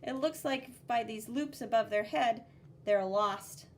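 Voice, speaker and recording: A woman with a very high voice reads a picture book aloud for children as an art teacher. She takes her time, stressing key words in a sing-song way and explaining the drawings simply. There is faint noise behind her. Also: a little slow. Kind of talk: expressive read-aloud with drawing commentary for children.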